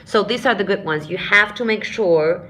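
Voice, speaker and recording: A woman's voice: medium pitch, moderate pace. A woman vocal coach giving a live-streamed singing lesson, explaining technique, answering viewer questions and demonstrating sounds herself. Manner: explanatory, demonstrates sounds and short sung phrases while teaching; addresses viewers warmly as friends and dears.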